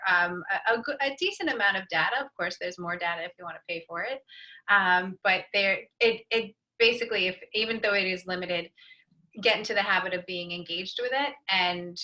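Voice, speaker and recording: A woman's voice: mid-range voice, bright, forceful tone, low-quality recording. A woman speaking as an expert panelist, giving practical advice. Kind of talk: advisory panel talk.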